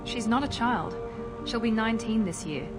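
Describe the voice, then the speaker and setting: An actress playing a cool, guarded female operative in a video game, speaking in a crisp, controlled way. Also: on the high side, moderate pace, natural voice. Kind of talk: video game cutscene dialogue. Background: game score music.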